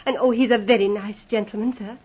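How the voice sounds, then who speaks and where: A woman's voice: medium pitch, steady tone, fast talker, husky, close to an everyday voice. An actress playing an earnest, respectful young woman in mourning, speaking deferentially in an old radio drama. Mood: earnest, insistent. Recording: muffled old 1940s radio recording.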